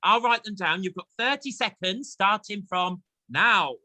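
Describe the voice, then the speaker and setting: A man's voice: high-pitched, measured pace, sharp tone, no echo. An English man hosting a chatty theatre interview and quiz, effusive and encouraging in manner. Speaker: host of a theatre chat show.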